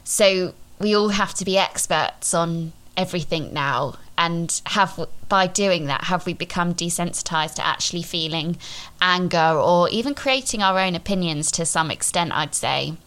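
Lower-voiced British woman, podcast co-host. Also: moderate pace, a bit bright, clear, resonant tone. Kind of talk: casual book discussion.

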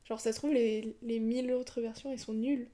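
A young woman co-hosting a podcast, chatting in a relaxed, hesitant way with fillers. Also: fairly high pitch, fairly quick, over a noisy crowd. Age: young adult. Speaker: podcast co-host. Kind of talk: casual chat.

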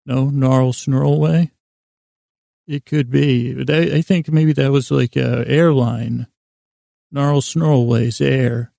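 A man with a creaky voice hosting a sleep podcast, delivering a whimsical, meandering monologue that halts, trails off and doubles back absent-mindedly. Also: mid-range voice, fairly even intonation, slow pace, dark tone, no room sound, clean studio sound. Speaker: podcast host.

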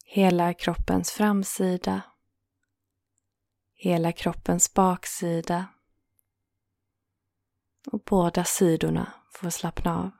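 A woman guiding a yoga nidra relaxation in a calm, soothing manner, leaving gentle pauses between phrases. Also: on the low side, gravelly, no room sound, clean studio sound. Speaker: meditation guide and host.

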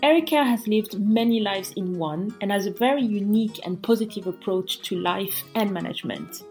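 A woman hosts an interview podcast in a mid-pitched voice, with some music behind her. She speaks in the style of a presenter introducing and questioning guests. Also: normal speed, darkish tone.

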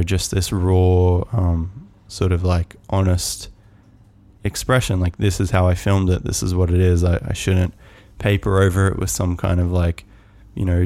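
A man hosting a podcast, musing on his own in a calm, soft voice, rambling with many fillers and restarts. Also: sits low, no room sound. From Australia. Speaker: podcast host. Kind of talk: casual solo musing.

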